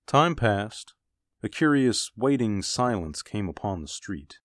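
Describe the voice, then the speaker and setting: A man's voice: on the low side, very animated, slow, no room sound. A man narrating an eerie suspense radio play in a dramatic storytelling manner. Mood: ominous.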